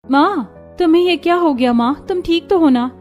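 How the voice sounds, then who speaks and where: A woman voicing a poor, gentle young college girl in a cartoon story, earnest and plaintive. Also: very high voice, normal speed, faint music behind. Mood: anxious. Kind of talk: Hindi cartoon moral story acting.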